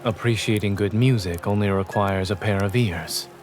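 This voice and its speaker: Man playing calm male doctor, video game, principled, measured, dry deadpan, light music behind. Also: fairly low pitch, lightly acted. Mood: stern, controlled.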